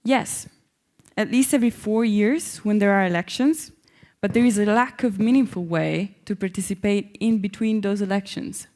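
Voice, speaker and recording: A woman's voice: medium pitch, normal speed, darkish tone, natural voice. A young woman reading the part of an independent-minded, hopeful Iranian woman in a staged play reading. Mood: earnest. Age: young adult.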